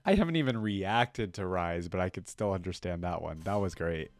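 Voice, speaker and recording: A man hosting a reaction video, talking casually as an enthusiastic fan and thinking out loud. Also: low-pitched, normal speed.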